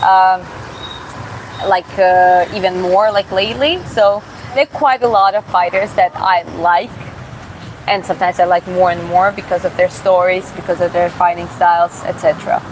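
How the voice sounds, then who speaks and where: A woman's voice: lowish voice, steady tone, poor audio. Casual woman answering chat, background hum. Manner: casual, halting, searches for words with many restarts. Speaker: live streamer and boxer. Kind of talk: live Q&A, reading viewer comments aloud.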